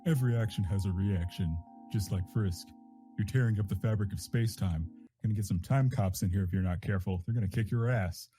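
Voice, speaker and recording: A man voicing a laid-back, wisecracking cartoon skeleton with a casual, deadpan manner. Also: lowish voice, a little fast, soft background music, natural voice. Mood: scolding, wry.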